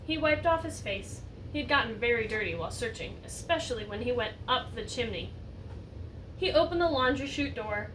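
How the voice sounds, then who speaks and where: A woman reading a picture story aloud like a teacher, animated and chatting to young listeners with questions. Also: a little high, moderate pace. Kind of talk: expressive read-aloud story narration with asides to children. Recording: distant mic, roomy background noise.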